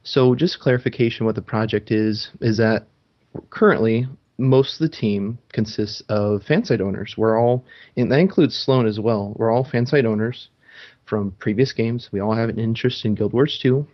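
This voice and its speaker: A man's voice: fairly low pitch, moderate pace. A man hosting a gaming podcast in casual chat, talking loosely with frequent ums and restarts. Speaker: podcast host. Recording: dull lo-fi sound.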